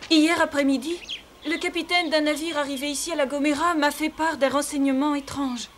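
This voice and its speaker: A woman's voice: high voice, steady tone, fairly quick, a little hiss or hum, lightly acted. A woman playing a gracious, concerned noblewoman in a cartoon, speaking formally and courteously. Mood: confiding, concerned. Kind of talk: French animated series dubbing.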